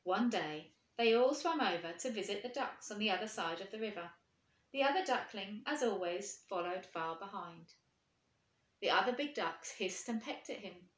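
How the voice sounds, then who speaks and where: A woman reading a storybook to children, expressive and putting questions to her young listeners. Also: mid-range voice, normal speed. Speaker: primary school teacher reading aloud. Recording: echoey room.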